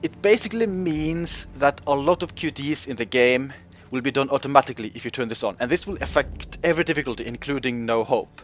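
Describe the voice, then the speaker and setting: A man's voice: a little fast, hardly any pauses. Casual guy talking over gameplay, lots of um and like, voice jumps up when he jokes, faint music behind. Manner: chatty, many fillers, pitch jumps up when joking. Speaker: gaming video host. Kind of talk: casual game commentary.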